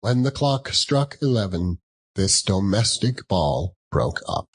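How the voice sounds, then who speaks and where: A man narrating a classic story as an audiobook, in an expressive, deliberate and theatrical style. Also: fairly low pitch, husky.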